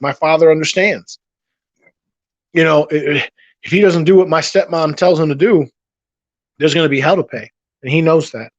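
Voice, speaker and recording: A man chats on a late-night livestream, working through viewers' messages in a rambling way, often restarting and repeating his sentences. His voice sits a bit high for a man and sounds worn, as if he is losing it after hours of talking. The sound is dry and close, with no room around it. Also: no echo. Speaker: livestream host reading chat.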